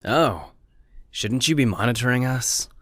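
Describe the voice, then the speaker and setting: An actor playing a nosy, teasing middle-aged smoker in an anime fan dub, with a wry, laid-back, probing manner. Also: male voice, medium pitch, expressive, unhurried, a bit bright, no room sound, clean studio sound. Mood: casual probing.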